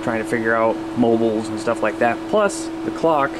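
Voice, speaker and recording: A man's voice: medium pitch, moderate pace, heavy background noise. A man hosting a casual hobby vlog, talking to viewers in a folksy, rambling way. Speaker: hobbyist video host.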